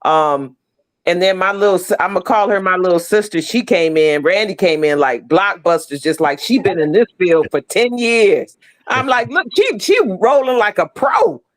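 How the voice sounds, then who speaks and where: Middle-aged woman, medium pitch. Emphatic, heartfelt guest on a video call, gushing and conversational. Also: lively intonation, normal speed. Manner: emphatic, effusive. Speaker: actor, interview guest. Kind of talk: casual heartfelt interview talk.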